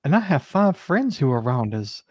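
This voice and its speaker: A woman acts out an effusive female clerk in a live dramatic reading of her letters. The voice is gushing and a little husky, recorded dry with hardly any room around it. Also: very deep voice, sing-song, normal speed, a little mellow, dry recording, voice pushed down, heavily acted.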